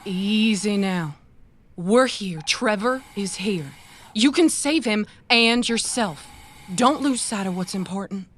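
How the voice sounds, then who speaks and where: A woman playing a calm, earnest cyberpunk runner in an audio drama, soothing and measured. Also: mid-range voice. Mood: soothing, firm.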